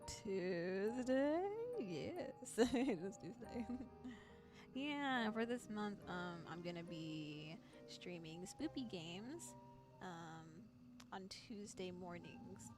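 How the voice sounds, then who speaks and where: A woman's voice: lowish voice, expressive, slow pace. A woman chatting casually on a livestream in a playful, giggly manner. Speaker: streamer playing a visual novel. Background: music.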